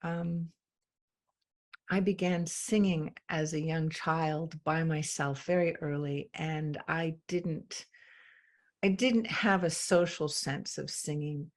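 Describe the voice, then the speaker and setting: A woman from England, a guest in a conversation, speaking reflectively with frequent pauses and ums. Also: sits low, fairly even intonation. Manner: slow, thoughtful, with many pauses and ums. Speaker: interview guest.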